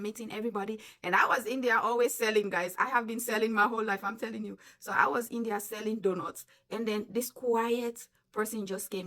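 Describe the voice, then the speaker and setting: Young Sierra Leonean woman, casual vlogger, emphatic and chatty, mid-pitched voice. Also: pushed and bright. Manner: chatty, emphatic, addresses viewers as guys. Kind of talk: casual storytelling to camera.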